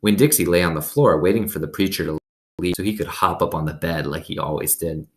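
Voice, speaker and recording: A man's voice: low voice, brisk. A man reading a storybook aloud expressively as host, with simple, conversational asides.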